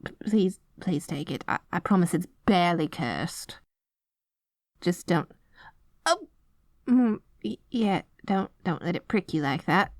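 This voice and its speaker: A woman's voice: on the low side, expressive, a little slow, pauses often, no room sound, clean studio sound. A woman playing a dorky, lovestruck evil queen in an ASMR roleplay, stammering and flustered between pompous villainous proclamations. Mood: awkward, eager. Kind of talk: ASMR roleplay audio drama.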